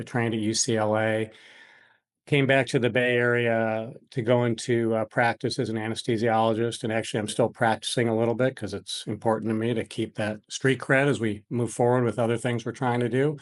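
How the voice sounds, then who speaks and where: A middle-aged American man, a physician from Buffalo, answering interview questions conversationally in hesitant, meandering phrases. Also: medium pitch. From Buffalo, New York, United States.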